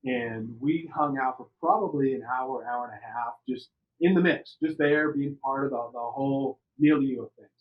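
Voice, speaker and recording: A middle-aged man from the United States telling personal stories on a podcast in an animated, conversational way, repeating words for emphasis. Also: mid-range voice, moderate pace, phone-quality sound. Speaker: podcast interview participant. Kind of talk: personal storytelling.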